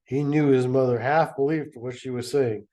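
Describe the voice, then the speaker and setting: A man reads a story aloud and keeps stopping to mock it with dry, sarcastic asides. His voice is mellow and dark in tone, at a middling pitch. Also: fairly even intonation, moderate pace. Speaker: reader and commentator. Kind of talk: dramatic reading with sarcastic commentary.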